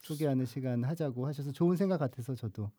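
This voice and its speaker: Hoarse priest chatting slowly on a podcast. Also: male voice, medium pitch, mellow, dry recording. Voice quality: slow, hesitant delivery with many fillers. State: hoarse, voice strained after lecturing. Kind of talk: casual podcast chat about films.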